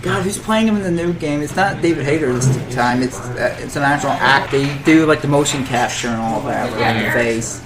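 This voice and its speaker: A man's voice: medium pitch, normal speed, few pauses. A man talking casually and with strong opinions on a panel, rambling and often restarting and repeating his phrases. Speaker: podcast panelist. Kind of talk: casual opinionated chat about games and films. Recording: background crowd chatter.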